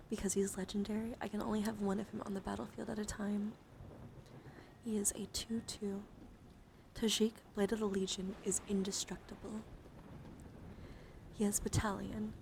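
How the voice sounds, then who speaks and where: Whispering ASMR woman, creaky edge. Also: medium pitch, flat delivery, moderate pace, background noise. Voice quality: mostly whispered, breathy. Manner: soothing, playfully encouraging asides. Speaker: livestream host. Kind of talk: whispered ASMR card reading with casual chat.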